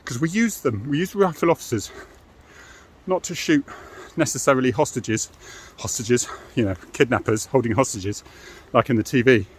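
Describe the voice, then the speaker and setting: A man's voice: mid-range voice, normal speed, slight background noise. A middle-aged British man giving an informal, explanatory monologue as a former police officer, frank and matter-of-fact, often adding tag questions.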